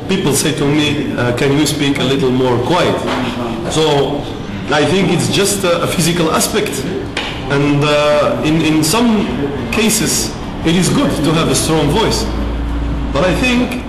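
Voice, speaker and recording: A man from Africa answering interview questions in a measured way with many pauses. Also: on the high side, roomy sound, in a large hall, background noise.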